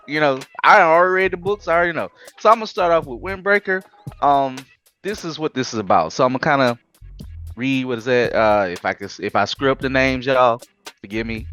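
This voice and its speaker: A talkative man hosting a casual podcast, rambling through his opinions with animated asides. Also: medium pitch, highs cut off. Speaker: podcast host. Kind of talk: casual chat.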